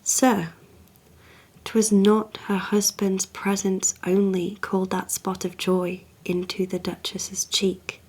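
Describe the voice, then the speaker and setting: A cold, proud Renaissance duke performed by a woman delivers a dramatic verse monologue at a slow, measured pace. The manner is haughty and insinuating, often hushed, with possessive menace under the courtesy. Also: mid-range voice, monotone, husky. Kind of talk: dramatic monologue, poetry reading.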